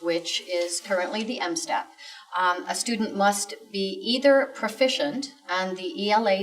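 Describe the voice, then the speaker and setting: A woman giving measured, formally worded explanations as an expert guest in an interview. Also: medium pitch, far from the mic, low-quality recording.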